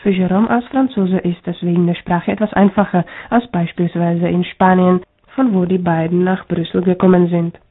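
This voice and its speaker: A woman reads a scripted radio report in an even, measured way. Her voice is husky and low for a woman. The sound is narrow and tinny, like a phone line. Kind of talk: scripted news narration.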